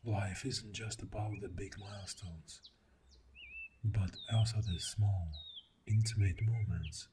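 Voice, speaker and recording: Very slow, deep, soft-spoken boyfriend, acted by a man. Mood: devoted, soothing. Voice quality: soft, breathy, often whispering. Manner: slow, intimate, affectionate, lingering pauses. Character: clingy, tender, devoted boyfriend on a morning camping trip. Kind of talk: ASMR boyfriend audio roleplay. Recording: steady outdoor nature sound noise. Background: birdsong and nature sounds.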